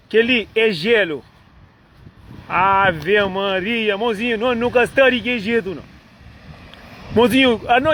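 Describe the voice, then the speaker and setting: A man with a high voice talks in a rambling, repetitive, playful way, circling back to the same phrases. He is a vlogger from Cape Verde, speaking outdoors in the cold. The outdoor phone recording carries clear background noise. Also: fairly bright tone.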